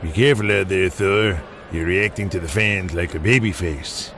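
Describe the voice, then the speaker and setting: A man playing a stern, controlling old wrestling promoter with a gruff, authoritative manner, in an audio drama. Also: low-pitched, normal speed, a bit bright. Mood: stern caution. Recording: noisy city ambience behind the voice.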